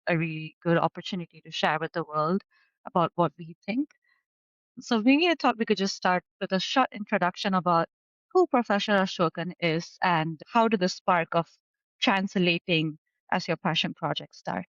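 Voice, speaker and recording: A woman's voice: on the low side, moderate pace, fairly bright tone, no echo, lo-fi sound. A young adult woman hosting an interview in a friendly, informal way over a video call. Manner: friendly, informal, with filler words. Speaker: interviewer, podcast host. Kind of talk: casual interview.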